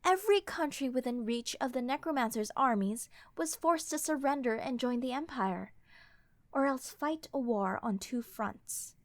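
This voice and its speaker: Principled young royal for fantasy audio drama, played by a woman; high voice, formal, courteous, earnest, dry close recording. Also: animated, normal speed, no echo. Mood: firm, guarded. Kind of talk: fantasy audio roleplay acting.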